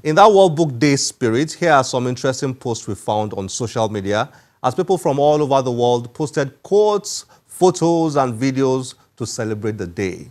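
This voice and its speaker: Male presenter, mid-pitched, formal and measured, scripted book show narration. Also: lively intonation, a little mellow.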